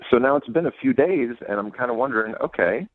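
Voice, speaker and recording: A man's voice: medium pitch, lively intonation, fast, no room sound. A man telling a casual, self-deprecating story as a caller on a telephone line. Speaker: call-in guest telling a dating story. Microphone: phone.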